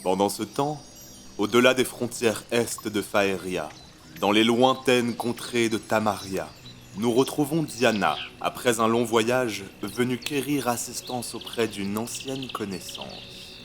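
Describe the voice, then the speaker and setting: Man as a low-voiced solemn storyteller. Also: a little slow, slight background noise, natural voice. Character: solemn male fantasy storyteller. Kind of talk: slow scripted storytelling narration.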